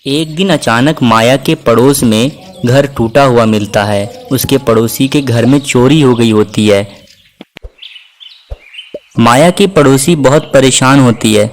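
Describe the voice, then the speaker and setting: A man's voice: mid-range voice, a little slow, faint music behind. A man narrating a children's story in a scripted storybook style.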